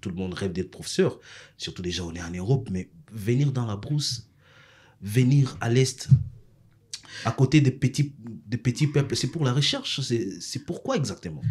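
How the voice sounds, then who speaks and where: A man's voice: mid-range voice, animated, moderate pace, darkish tone, slightly rough. A male talk show host, interviewing guests formally and often repeating himself while searching for words.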